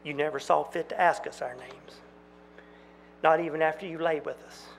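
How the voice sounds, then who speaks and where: A man's voice: fairly high pitch, unhurried, vocal fry, slight background noise. A man voicing eerie reproachful twin girls.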